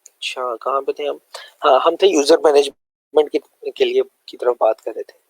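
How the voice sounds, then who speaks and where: A man's voice: medium pitch, moderate pace, breathy edge, dry recording, low-quality recording. A man with a sore throat, giving a loose, conversational screen-share lesson full of fillers. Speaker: web development tutor.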